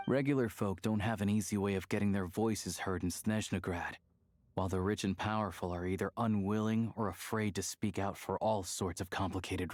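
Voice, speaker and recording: Low-voiced, easygoing game ally played by a man. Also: lightly acted. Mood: explanatory. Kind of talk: video game dialogue acting.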